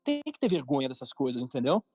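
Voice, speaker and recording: A man's voice: mid-range voice, sing-song, fast, warm tone, breathy edge, no room sound, faint music behind. Brazilian man, informal and emphatic, dry close sound. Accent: Brazilian Portuguese. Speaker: podcast guest, cartoon creator and entrepreneur. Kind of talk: casual interview talk.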